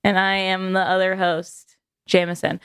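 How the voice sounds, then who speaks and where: A woman co-hosting a casual podcast, playful with quick asides and casual swearing. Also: medium pitch, completely flat delivery, fairly bright tone, smooth, no echo. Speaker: podcast co-host.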